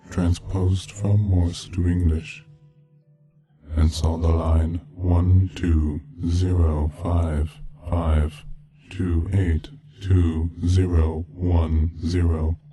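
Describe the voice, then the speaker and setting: A man narrates an eerie tale in a very low voice that barely rises or falls. His delivery is scripted, measured and deadpan. Music plays clearly behind him. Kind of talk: scripted horror story narration. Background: background music from game soundtrack.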